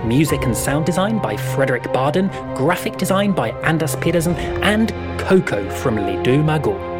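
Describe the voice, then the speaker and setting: A man's voice: fairly high pitch, big pitch swings, moderate pace. A man announcing scripted podcast credits and appeals to listeners. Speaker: show announcer. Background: loud music.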